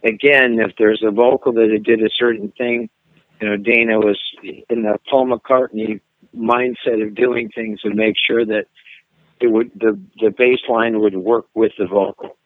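A man's voice: lowish voice, flat delivery, normal speed, no echo. A middle-aged man, a singer, talks casually in an interview, hesitating and restarting often. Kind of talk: casual interview over a phone line. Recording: dry tinny phone line.

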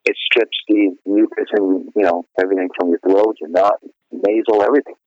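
A middle-aged man talks casually about his singing, rambling and circling back with "you know" after nearly every phrase. His voice sits fairly low. The sound is dry and a little dull, like a lo-fi radio recording. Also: steady tone, a little fast, a little mellow, no room sound. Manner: rambling, full of "you know" fillers and restarts. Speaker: singer being interviewed. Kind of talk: casual interview chat.

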